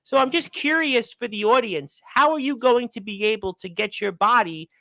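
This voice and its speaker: A man's voice: very high voice, moderate pace, creaky, sharp tone, no room sound. A man hosting a radio interview, asking long, rambling questions that circle back on themselves. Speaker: radio show host.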